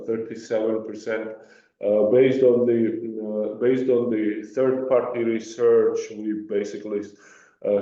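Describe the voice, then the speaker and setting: A man presenting company results on a conference call as an executive, with a halting, hesitant delivery. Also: lowish voice, warm tone. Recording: muffled, very echoey, distant microphone.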